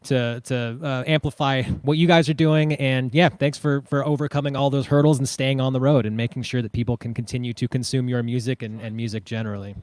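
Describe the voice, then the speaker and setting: Man, livestream host, relaxed wording, mid pitch, dry room-free sound. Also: a little fast, hardly any pauses, dry recording. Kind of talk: casual chat.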